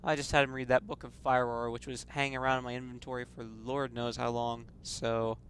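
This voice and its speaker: A man's voice: mid-range voice, little pitch movement, brisk, slight background noise. A man casually commenting on his role-playing game play, thinking aloud and poking fun at himself. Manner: chatty, self-deprecating, thinking aloud. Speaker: let's play gamer. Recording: loud game music behind. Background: loud game music.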